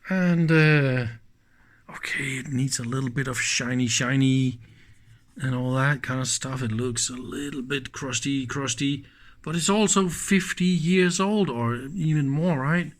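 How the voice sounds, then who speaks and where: A man's voice: mid-range voice, steady tone, unhurried. A man gives a casual, hands-on technical demonstration in an informal, enthusiastic manner. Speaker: host of an electronics teardown channel.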